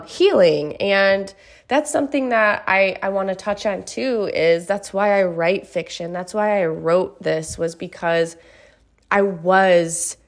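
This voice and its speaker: A woman author talking casually and earnestly about her writing, sounding a little stuffy from a cold, often checking in with the listener. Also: fairly low pitch. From Michigan. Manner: chatty, earnest, frequent "right?" asides. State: stuffy nose. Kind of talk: casual chat.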